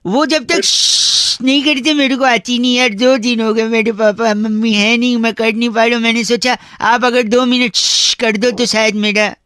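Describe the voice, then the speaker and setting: A man's voice: very high-pitched, steady tone, normal speed, hardly any pauses, sharp tone, smooth, dry recording, studio quality. A man voicing a cheeky, mischievous young boy on a comedy prank phone call, playful and pestering. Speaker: radio prank call host.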